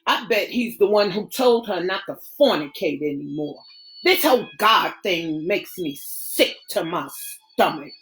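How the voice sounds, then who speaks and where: A woman voicing a bitter, betrayed young man who speaks in a low, brooding, clipped way. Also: animated, unhurried, a bit bright, low-quality recording.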